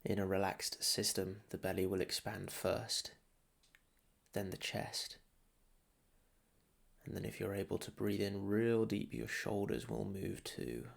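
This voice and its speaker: A man leading a guided meditation as a teacher, soothing and unhurried, leaving long pauses between short phrases. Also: fairly low pitch, vocal fry. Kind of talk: guided meditation, calm instruction.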